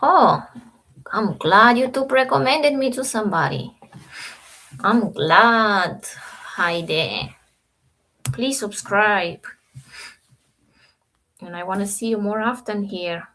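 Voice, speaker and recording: A woman's voice: mid-range voice, animated, takes it slowly, long pauses, sharp tone, poor audio. A woman from Romania hosting a trading live stream, chatting casually with viewers and thinking aloud over the charts.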